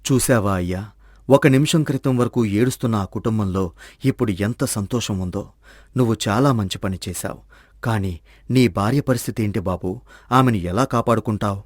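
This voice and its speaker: A man voicing a serene, kindly old sage in a storybook tale, with a measured, preaching and benevolent manner. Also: fairly low pitch, no room sound, studio quality, lightly acted. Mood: calm, benevolent. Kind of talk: scripted moral-story dramatization.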